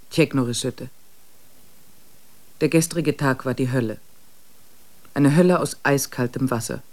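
A man in a mid-range voice reads out diary entries. His delivery is measured and descriptive, steady from line to line. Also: medium pitch. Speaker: diary reader.

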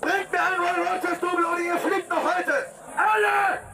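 A man's voice: extremely high pitch, steady tone, normal speed, over music, a crowd in the background. An actor playing a frantic, tyrannical film director in a radio drama, shrilly barking orders at his crew. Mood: frantic, furious.

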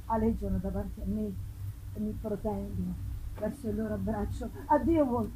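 A woman playing a dying male scientist in a gothic novel reading, speaking with halting, solemn regret. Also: mid-range voice, noisy background, close to an everyday voice. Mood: tender regret. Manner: halting, solemn deathbed speech. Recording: loud live guitar music behind.

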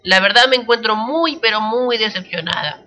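A stern Argentine mother-in-law for a radio comedy. Her voice is very high and piercing, haughty and full of scorn. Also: normal speed, pushed and bright. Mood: dramatic disappointment. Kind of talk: comic radio serial acting.

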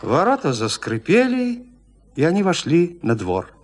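A man narrating a classic literary tale for an audio drama in a measured, expressive storytelling manner. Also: on the high side.

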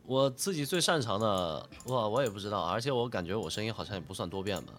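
Nasal man's voice, low and animated. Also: fast. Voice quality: nasal from a cold. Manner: modest, self-deprecating, thinks aloud with repetitions. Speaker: guest voice actor. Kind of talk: casual interview answers, explaining dubbing work.

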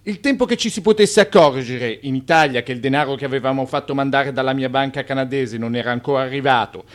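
An actor playing a shrewd, slippery Italian immigrant banker in a radio drama, with a theatrical, self-justifying manner. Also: male voice, medium pitch, fast, lightly acted. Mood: hurried, rueful.

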